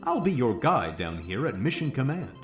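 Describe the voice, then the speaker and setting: Man playing a theatrical, wildly animated mission-control showman. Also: mid-range voice, normal speed, reverberant, faint music behind, lightly acted. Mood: grandly announcing. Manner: grandiose announcer delivery. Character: theatrical male mission-control showman in costume, eager and fussy. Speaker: actor in a comedy audio drama. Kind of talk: comedy radio play acting.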